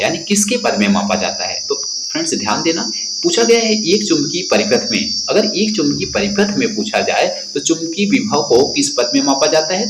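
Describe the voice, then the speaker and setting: A man presents a quiz lesson for exam students in a slightly high voice with very lively swings of pitch. He addresses listeners directly and restates questions and answers. The recording has loud background noise. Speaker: exam-prep instructor. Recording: echoey room, loud insect-like background noise.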